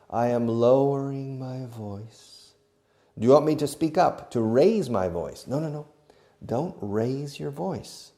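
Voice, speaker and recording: A man teaching a beginner English lesson, explaining slowly and acting out each phrase, with short glosses in another language. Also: medium pitch, vocal fry, dark tone. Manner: slow, deliberate, demonstrative. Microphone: video recording.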